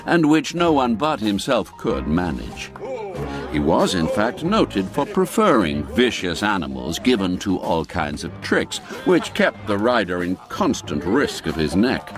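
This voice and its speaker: Slow, theatrical male storyteller. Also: huge pitch swings, over music. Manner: theatrical, relishing old-fashioned phrases; slow and deliberate, with wide swoops in pitch. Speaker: narrator. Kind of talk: dramatic audio-drama storytelling.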